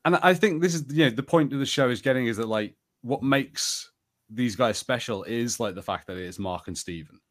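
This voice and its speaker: A man's voice: medium pitch, brisk, a bit bright. A man co-hosting a podcast, chatting casually about TV shows in a rambling, conversational way with plenty of "like". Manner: rambling and conversational, heavy use of "like". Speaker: podcast co-host.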